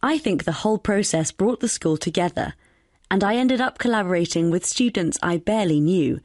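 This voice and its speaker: A woman reading an upbeat scripted monologue for a listening exam, with clear delivery. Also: on the low side, lively intonation, brisk, a little mellow, no echo, studio quality. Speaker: exam recording speaker.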